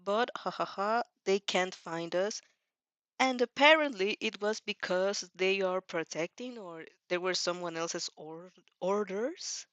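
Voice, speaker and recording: A woman who is a non-native English speaker, hosting a casual fan fiction read-aloud with an informal, halting, giggly delivery and self-corrections. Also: mid-range voice, a bit bright, no room sound. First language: not English. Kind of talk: casual reaction chat and story reading.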